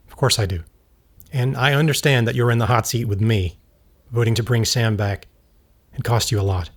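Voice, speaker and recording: A man acts out a troubled, earnest man in an audio drama. His voice sits at an average male pitch, and he speaks sincerely and intensely, as if asking to be trusted. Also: lightly acted. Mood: wary, gentle. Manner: sincere, intense, halting appeals.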